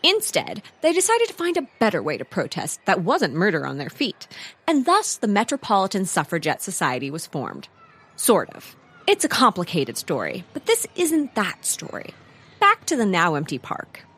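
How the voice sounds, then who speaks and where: A woman's voice: medium pitch, wildly swooping pitch, moderate pace, fairly bright tone, slightly rough, exaggerated voice. A woman playing a wry storyteller, narrating a comedic historical mystery for audio drama. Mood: dryly amused. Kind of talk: scripted narration.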